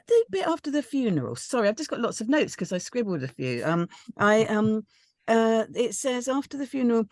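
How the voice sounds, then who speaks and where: A woman's voice: medium pitch, a little mellow, no echo, studio quality. A woman giving informal feedback on a script, tentative and hesitant as she thinks aloud. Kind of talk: informal spoken critique of a script.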